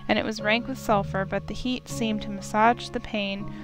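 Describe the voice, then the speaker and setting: A woman's voice: medium pitch, fairly even intonation, moderate pace. Woman narrating a story over music. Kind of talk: scripted visual novel narration.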